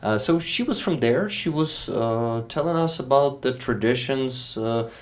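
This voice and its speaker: Ukrainian man guest, slow deliberate English with a Ukrainian accent, halting, restarts often; unhurried pace, mid pitch. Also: animated. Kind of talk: casual interview conversation.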